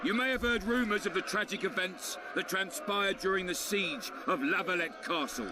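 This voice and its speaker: An actor playing a crude, swaggering town commander in a video game, theatrical, sardonic and menacing. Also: male voice, high voice, normal speed, background noise, lightly acted. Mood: sly menace.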